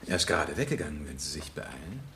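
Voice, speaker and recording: An actor in a German radio play, playing a suave, flirtatious bachelor who talks with mocking politeness. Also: male voice, very deep voice, sing-song, fast, sharp tone, slight background noise, heavily acted. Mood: casual, smooth. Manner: smooth politeness with mocking edge. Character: suave, sardonic bachelor charmer, flirtatious and probing.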